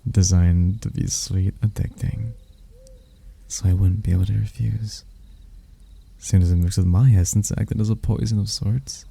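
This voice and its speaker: Man playing a gravelly, very deep seductive demon. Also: fairly even intonation, mellow. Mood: puzzled, realizing. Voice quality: gravelly, husky. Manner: smooth-talking, teasing, slow and deliberate. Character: seductive, smug male demon in human form, mocking hunter. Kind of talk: intimate ASMR roleplay.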